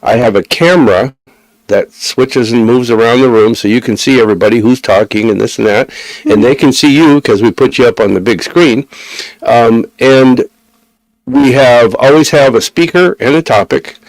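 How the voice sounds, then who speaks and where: A man's voice: mid-range voice, moderate pace, dry recording, rough recording. A man hosting a live psychic radio show, chatting in an easygoing way with many ums and restarts. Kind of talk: casual chat. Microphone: live-stream studio mic.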